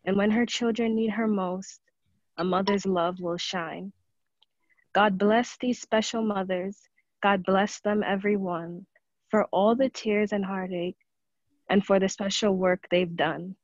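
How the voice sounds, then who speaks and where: A woman's voice: medium pitch, steady tone, a little slow, dry recording, muffled recording. A woman reciting a devotional poem as a congregation member over an online call.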